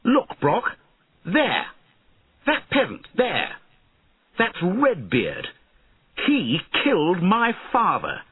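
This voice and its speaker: Man as a spiteful boy king, high strained shouts, imperious, storybook drama, tinny phone-like audio. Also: wildly swooping pitch, drawn-out words, slightly rough, dry recording, exaggerated voice. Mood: urgent, furious. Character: cruel, angry young boy king.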